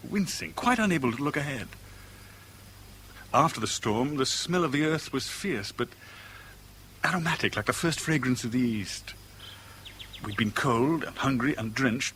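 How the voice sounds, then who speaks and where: A man's voice: mid-range voice, pushed and bright. An actor playing an intense, romantic middle-aged man with an earnest, poetic manner in a 1970s British radio drama. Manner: earnest, poetic, measured storytelling. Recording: faintly noisy old radio recording.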